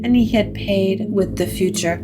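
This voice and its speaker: A woman narrating scripted supernatural fiction in a measured, literary storytelling manner. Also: medium pitch, music in the background.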